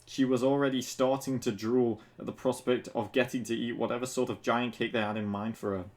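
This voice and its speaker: A man's voice: mid-range voice, flat delivery, a little fast, a little mellow. A man reading fan fiction aloud as a narrator, breaking off into casual, mocking asides with some swearing. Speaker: reader of fan fiction for an online video.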